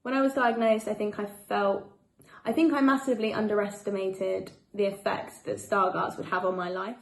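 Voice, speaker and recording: An eighteen-year-old woman giving an earnest, steady personal account. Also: medium pitch, normal speed, vocal fry, echoey room. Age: late teens. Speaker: charity supporter. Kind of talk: personal testimony.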